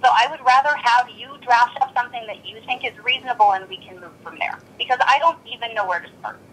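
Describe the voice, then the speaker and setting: A woman's voice: fairly high pitch, quick pace, fairly bright tone, slight background noise. A blunt, exasperated woman arguing on a recorded telephone call. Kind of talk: tense phone argument.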